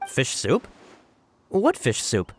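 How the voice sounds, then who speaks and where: A man's voice: medium pitch, wildly swooping pitch, slow, a little hiss or hum. An actor playing an earnest, easily flustered young male subordinate in a video game, emphatic and eager to report. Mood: incredulous, insistent. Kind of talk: voiced video game dialogue.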